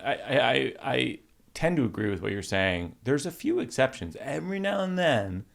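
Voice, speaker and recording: A middle-aged man hosting a podcast conversation, talking in halting bursts with lots of restarts and animated asides. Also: medium pitch, vocal fry. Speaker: podcast host. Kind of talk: casual conversation.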